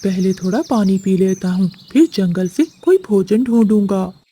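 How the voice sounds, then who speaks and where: A caring young male sparrow from a children's cartoon, played by a woman. The voice is soft and mellow at a middling pitch, gentle and reassuring in temper. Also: normal speed, husky, lightly acted.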